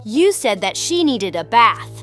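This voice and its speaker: Woman as a little boy, high voice, eager, earnest, audiobook dialogue, music behind. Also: sing-song, unhurried, fairly bright tone. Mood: frustrated.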